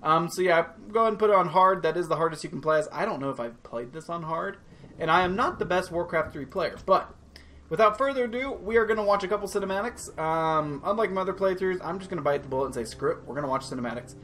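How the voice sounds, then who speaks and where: A man's voice: high-pitched, fast talker, pushed and bright, a little hiss or hum. A young man hosting a game playthrough, chatting casually in an informal, rambling way. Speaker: gaming channel host. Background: faint game music.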